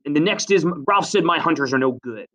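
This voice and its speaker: A man voicing a bitter, tearful, defiant boy hunter in a storybook reading, making loud, forceful declarations. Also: a little high, animated, quick pace, far from the mic, voice pushed up. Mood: accusing.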